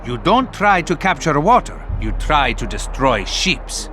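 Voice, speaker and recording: An actor playing a pious, wry Italian officer in a video game cutscene, with an Italian accent and theatrical, expressive phrasing. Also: male voice, on the high side, measured pace, exaggerated voice. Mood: wry, businesslike. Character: pious, wry Italian tank officer, anxious about his brother. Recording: loud music under the voice.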